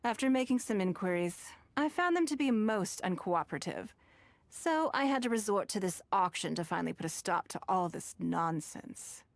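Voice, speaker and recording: A woman playing a shrewd, wealthy, coolly commanding businesswoman in a video game, speaking in measured, eloquent, formal phrases. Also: medium pitch, animated, gravelly, dry recording. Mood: composed, wry.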